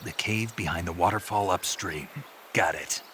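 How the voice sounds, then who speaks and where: An actor playing a gruff, terse soldier spy in a video game, with a gravelly, dry American delivery. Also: male voice, low-pitched, normal speed, lightly acted. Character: gruff laconic male soldier spy on a survival mission. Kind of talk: video game cutscene acting. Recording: noisy ambient background.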